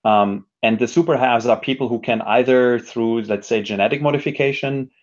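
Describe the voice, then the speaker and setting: Slightly muffled man, reflective and halting. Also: medium pitch. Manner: reflective, hesitant, frequent self-restarts and hedging. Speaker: interview guest, technology educator and speaker. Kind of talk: thoughtful conversational interview.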